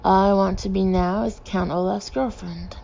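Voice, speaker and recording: Lady doing a villain's snooty girlfriend in a storybook, demanding, bit of fry in the voice. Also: medium pitch, fairly even intonation, moderate pace, warm tone, muffled recording, slight background noise, natural voice. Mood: haughty. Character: demanding, self-important villain's girlfriend, a woman.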